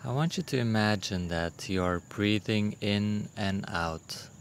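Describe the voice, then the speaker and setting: A man leading a guided meditation in a gentle, unhurried manner, with long pauses between short phrases. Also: lowish voice, a little hiss or hum. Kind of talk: guided meditation, soothing instruction.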